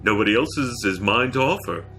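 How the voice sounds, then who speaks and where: A man voicing a large, contented bovine creature in a storybook reading, polite and gently urging like an eager waiter. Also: on the low side, lively intonation, moderate pace, faint music behind, voice pushed up. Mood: contented, proud.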